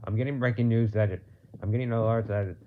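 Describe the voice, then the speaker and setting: A man improvising an amateur mock newscast as anchor, halting and stumbling over his words as he repeats phrases. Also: fairly low pitch, steady tone, slight background noise. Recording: muffled lo-fi home microphone.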